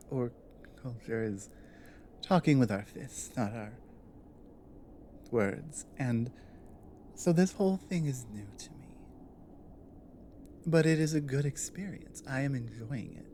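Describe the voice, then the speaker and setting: A woman playing a guarded but loyal female orc warrior in an ASMR roleplay, speaking in a halting, earnest, plainly worded way. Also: extremely low pitch, expressive, dark tone, a little hiss or hum. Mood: earnest, tender.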